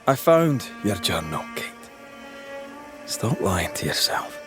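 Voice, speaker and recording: Blunt male ghost hunter for a colonial game drama; wry, animated delivery, faint music behind. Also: sits low, slow, exaggerated voice. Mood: firm, caring. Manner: wry, direct, archaic phrasing.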